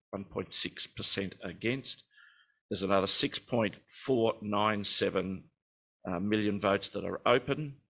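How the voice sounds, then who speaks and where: A man with a very deep, gravelly voice takes over the chair of a formal meeting. His tone is somewhat husky, and he works through the vote figures in a relaxed way, with frequent ums and uhs. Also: rough recording. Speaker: director standing in as chair. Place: meeting room.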